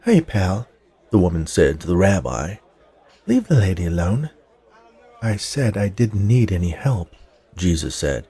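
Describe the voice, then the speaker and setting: Lively, gravelly man hosting a podcast. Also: deep voice, measured pace, long pauses. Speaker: podcast host and story narrator. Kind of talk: interview and story narration.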